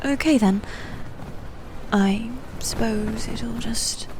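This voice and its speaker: Casting: haughty female demon knight, played by a woman for ASMR roleplay; highish, mellow, teasing, often whispered. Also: slight background noise. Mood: teasing, aloof. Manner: soft, breathy, often whispered, deliberate and teasing.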